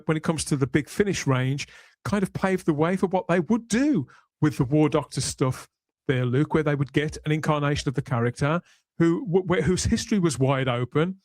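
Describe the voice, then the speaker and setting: Male podcast host, slightly high voice, measured and discursive, pausing deliberately, very dry recording. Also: darkish tone, dry recording. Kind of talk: panel discussion on a sci-fi podcast.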